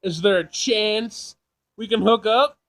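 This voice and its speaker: A man doing casual playthrough commentary, reading game text aloud, jokey and thinking out loud as he repeats himself. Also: high-pitched, takes it slowly, soft background music. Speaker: gaming video host.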